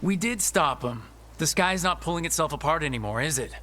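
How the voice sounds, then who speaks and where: An actor playing a resolute young male adventurer who leads a team in a video game, calm and direct. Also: fairly high pitch, a little fast, faint music behind. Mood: frank, steady. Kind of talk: video game dialogue acting.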